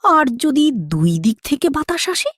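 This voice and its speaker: A woman voicing a sly, wicked, scheming fox with a raspy voice in a children's cartoon. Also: high-pitched, sing-song, a little slow, dry recording, studio quality. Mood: sly flattery. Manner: menacing, scheming, swooping delivery.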